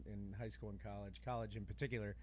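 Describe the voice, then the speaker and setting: A man's voice: lowish voice, steady tone, quick pace, mellow. A man chatting casually as a podcast host in an informal, easygoing way.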